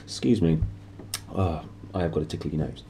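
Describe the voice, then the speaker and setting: A man reading a children's story aloud in an expressive narrating style, as a storyteller would. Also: a bass voice, moderate pace, gravelly, a little hiss or hum. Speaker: storybook reader.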